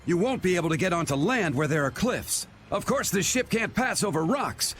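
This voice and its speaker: A man's voice: high voice, very animated, normal speed, noisy background. A man playing a polite, mild-mannered ship's pilot in a video game, explaining things helpfully and courteously. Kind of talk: video game dub acting, instructional.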